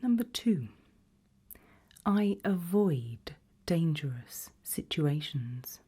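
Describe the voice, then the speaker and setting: A woman in an ASMR roleplay as a politely sinister HR interviewer, whispering and murmuring softly in a deliberate, measured way with pauses. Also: very animated. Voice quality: breathy whisper with soft low murmurs. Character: calm, politely sinister HR interviewer at an evil organisation.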